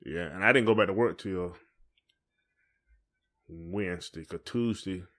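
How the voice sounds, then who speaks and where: Low-voiced Southern American man, casual podcast co-host. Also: a little slow, fairly bright tone. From United States. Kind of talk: casual couple's podcast chat.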